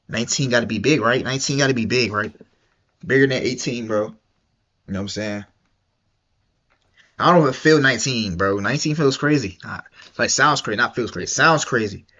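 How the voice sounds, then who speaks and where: A man's voice: mid-range voice, fairly bright tone, highs cut off. A young man streaming, reacting to a video in casual, slangy, repetitive chat. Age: young adult. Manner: slangy and repetitive, drawling and mumbly.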